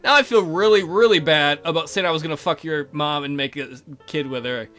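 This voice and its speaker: A man's voice: on the high side, brisk, bright, forceful tone. A man reading a visual novel game aloud as a let's play host, with crude, irreverent asides.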